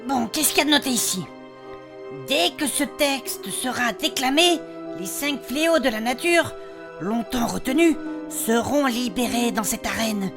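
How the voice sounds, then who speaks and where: A woman's voice: fairly high pitch, animated, unhurried, vocal fry. A woman playing a bold, protective lance-wielding adventurer in a fantasy comedy audio drama. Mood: solemn reading. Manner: urgent, exclaiming. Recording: music behind the voice.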